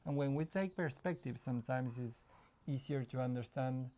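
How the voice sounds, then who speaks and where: A man from Argentina, a psychologist guest, talking in a relaxed interview, thoughtful and often pausing to find his words. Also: mid-range voice. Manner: thoughtful, searching for words, frequent restarts, laughs easily. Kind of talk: casual conversational interview.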